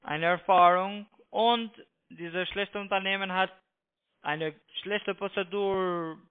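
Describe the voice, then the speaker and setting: Guy w foreign accent doing pushy halting phone pitch, high voice, tinny phone sound. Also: fairly even intonation, smooth. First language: not German. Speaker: call-centre phone agent. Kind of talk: phone sales pitch in broken German. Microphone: telephone. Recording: tinny narrow phone line.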